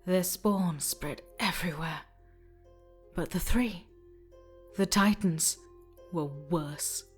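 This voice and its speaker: A woman playing a tall, solemn, weary stone forger in an audio drama, speaking in a measured, hushed, ominous way. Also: fairly low pitch, faint music behind.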